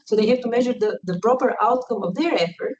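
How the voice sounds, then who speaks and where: A woman's voice: on the high side, fast, darkish tone. A woman from Bulgaria giving an academic conference talk, hesitant, with many fillers and uneven emphasis. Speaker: conference speaker, researcher. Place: conference hall. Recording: distant, dull, faint noise.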